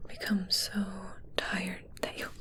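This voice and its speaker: A woman playing a curious, callous witch who tests her potions, in a slow, breathy whisper for an ASMR roleplay. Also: lightly acted. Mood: sly curiosity.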